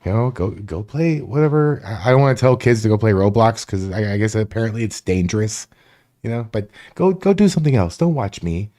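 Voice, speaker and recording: A man's voice: fairly low pitch, lively intonation, fairly quick, warm tone. A man from Florida hosting a casual live stream, rambling, greeting chat viewers by name and swearing freely. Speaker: livestream host.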